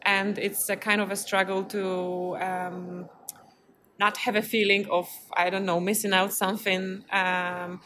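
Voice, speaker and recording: A woman's voice: medium pitch, little pitch movement, measured pace, pushed and bright. A woman from Poland with a Polish accent, answering interview questions as a festival programmer in a hesitant, thoughtful way. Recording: clearly noisy background ambience.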